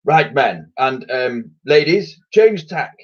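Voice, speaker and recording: Man doing a booming pompous old admiral. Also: animated, takes it slowly, husky, highs cut off. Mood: blustering. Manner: booming, bossy, thunders orders. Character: blustering old male navy admiral, pompous.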